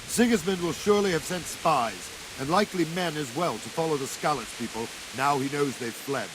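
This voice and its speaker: An actor playing a stern, blunt captain of castle guards in a medieval video game. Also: male voice, fairly high pitch, animated, a little fast, lightly acted. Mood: stern, commanding. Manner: commanding, blunt. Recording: hissy, rain and thunder behind.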